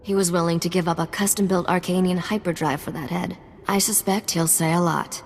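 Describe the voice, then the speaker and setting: An actress playing a shrewd smuggler's partner in a video game, with a matter-of-fact, businesslike, wry manner. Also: female voice, lowish voice, steady tone, a little fast, a little hiss or hum, natural voice. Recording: music behind the voice.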